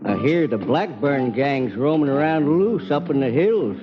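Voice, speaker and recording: An actor playing a chummy, teasing Old West bartender with wry, folksy talk in a 1940s radio drama. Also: male voice, medium pitch, expressive, measured pace, lightly acted. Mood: wry concern. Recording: muffled old radio with music behind.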